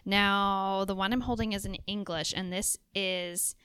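A woman's voice: mid-range voice, fairly even intonation, unhurried, sharp tone, smooth, dry recording. A woman with a nasal voice chatting casually about her favorite books, with lots of fillers. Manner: chatty, many filler likes and ums. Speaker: guest sharing favorite books. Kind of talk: casual chat.

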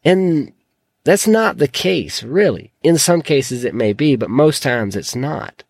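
A man hosting a solo podcast, his voice croaky from a sore throat, talking in an earnest, encouraging way. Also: medium pitch, expressive, slow pace, a little mellow, dry recording, clean studio sound. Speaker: podcast host.

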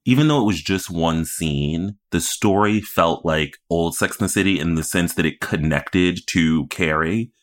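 Very deep-voiced man chatting casually. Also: measured pace, few pauses. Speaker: podcast co-host. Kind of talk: casual TV recap chat.

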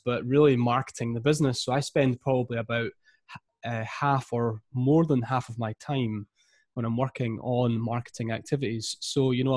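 A man's voice: medium pitch, no room sound, clean studio sound. A man in his thirties from the east coast of Scotland with a Scottish accent, talking with energy as a guest on a podcast interview. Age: 30s. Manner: energetic, upbeat. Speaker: guest, marketing coach.

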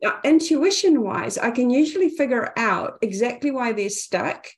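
A woman's voice: on the high side, lively intonation, normal speed. Confident New Zealand woman coach. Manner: candid, confident, reflective. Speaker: business coach, guest. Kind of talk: podcast interview conversation.

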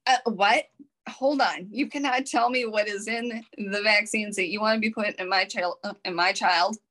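A woman's voice: medium pitch, moderate pace, a bit bright. A woman with a Minnesotan accent co-hosting a casual podcast, telling her stories earnestly and with emphasis. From Minnesota, United States. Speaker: podcast co-host.

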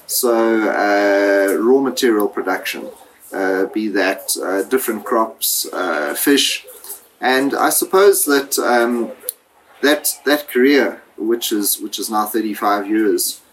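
A man's voice: fairly low pitch, lively intonation, takes it slowly. A middle-aged man from South Africa, raised in Zimbabwe, answering interview questions with many fillers and restarts. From born in South Africa, raised in Zimbabwe. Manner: hesitant, many fillers and repeated words. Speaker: guest, food entrepreneur. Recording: noisy background.

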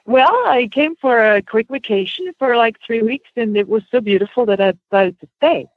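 A woman's voice: a little high, moderate pace, no echo. A woman from Switzerland, telling personal stories casually as an interview guest. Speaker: interview guest, horse-training student. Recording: band-limited phone line.

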